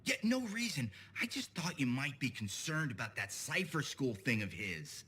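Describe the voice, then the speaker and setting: Eager, bright-voiced gossip. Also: male voice, medium pitch, big pitch swings, normal speed, slight background noise. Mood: starstruck, eager. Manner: chatty, hesitant, backpedalling. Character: eager, gossipy fanboy man peddling rumours in a fort. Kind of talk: video game dialogue.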